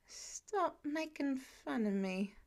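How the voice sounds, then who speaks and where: A woman's voice: sits high, expressive, takes it slowly, exaggerated voice. A woman voicing a groggy, earnest fifteen-year-old boy in an audiobook, speaking hesitantly and a bit slurred. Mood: groggy, meek.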